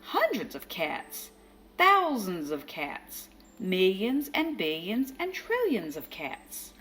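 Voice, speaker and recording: A woman's voice: medium pitch, wildly swooping pitch, takes it slowly, fairly bright tone, slight background noise, exaggerated voice. A woman voicing a very old, exasperated but practical wife in a storybook, scolding emphatically.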